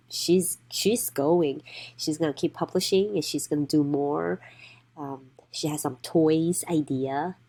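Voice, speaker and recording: A woman's voice: sits low, warm tone. A woman hosting a podcast, introducing her guest with enthusiasm and repeating herself as she talks. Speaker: podcast host. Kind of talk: podcast intro and casual interview.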